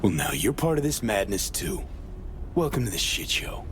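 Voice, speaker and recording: A man's voice: fairly low pitch, sing-song, moderate pace. A man playing a tough, guarded street mercenary in a video game, speaking clipped, laconic lines over noisy ambience. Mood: wry, tense. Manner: clipped, dropped words, laconic. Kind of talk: cinematic video game acting.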